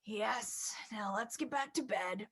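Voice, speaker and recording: A woman voicing a sleepy, patient father chicken in a storybook, gently scolding and weary of interruptions. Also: a little high, slow pace, husky, pitched below the performer's own voice. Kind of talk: storybook character voice.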